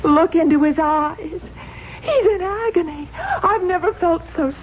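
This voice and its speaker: Sweet brave young girl, high voice, earnest and pleading, tinny old radio sound. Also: measured pace, a little hiss or hum. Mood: pitying. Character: tender-hearted, fearless young daughter. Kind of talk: old-time radio drama acting.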